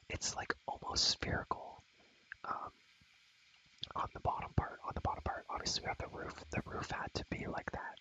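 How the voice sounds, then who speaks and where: A man's voice: bright, forceful tone, poor audio. A young man whispering ASMR commentary over a game in a rambling, enthusiastic, slangy way, often repeating his phrases. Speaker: ASMR creator showing a game world.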